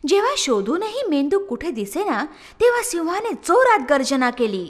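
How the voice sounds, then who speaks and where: A woman narrating a scripted story for children in an expressive storytelling style. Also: sits high, moderate pace, in a large hall.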